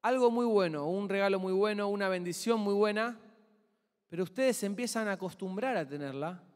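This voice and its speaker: A man's voice: sits high, in a large hall. A young man with an Argentine Spanish accent, preaching a chatty sermon and asking the congregation questions. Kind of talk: conversational sermon. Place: church hall.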